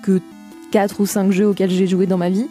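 A woman chatting as a guest on a radio show, soft-spoken and playful. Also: medium pitch, little pitch movement, moderate pace, soft background music. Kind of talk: casual radio chat. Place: radio studio.

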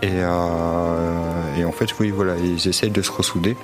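A man's voice: sits low, flat delivery, moderate pace, music in the background. A man hosting a casual discussion about films. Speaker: film talk show host.

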